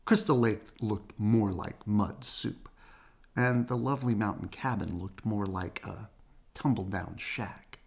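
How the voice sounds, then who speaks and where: A storyteller reading a children's picture book aloud in an expressive, deliberate way with a playful touch. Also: medium pitch, vocal fry, husky. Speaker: storybook reader.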